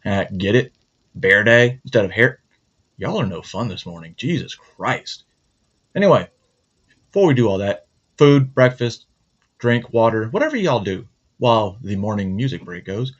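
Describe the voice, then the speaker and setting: A man's voice: on the low side, sing-song, normal speed. A man hosting his own show, chatting casually and rambling, cracking jokes and correcting himself. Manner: rambling, joking, self-correcting. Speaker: host. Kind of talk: casual morning show chat. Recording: dull lo-fi sound.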